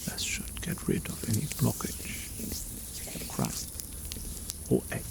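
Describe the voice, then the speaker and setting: A man playing a gentle, caring mage in an ASMR fantasy roleplay, speaking softly and soothingly and often dropping toward a whisper. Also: takes it slowly, noisy background, lightly acted. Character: gentle, caring male mage healing a weary traveler. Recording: faint nighttime cricket ambience.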